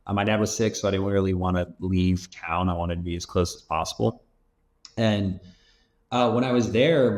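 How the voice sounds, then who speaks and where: Chill low-voiced dude from LA, thirties, says "like" a lot, kinda rambly. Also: male voice, darkish tone. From Los Angeles, USA. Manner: rambling and loose, heavy use of "like" and "um". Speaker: venture capital investor, guest. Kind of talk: casual interview talk.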